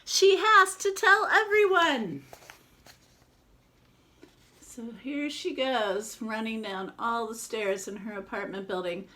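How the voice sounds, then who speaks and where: A woman reading a picture book aloud to children, expressive, with casual chatty asides to explain it. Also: on the high side, slow, pauses often. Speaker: children's read-aloud presenter.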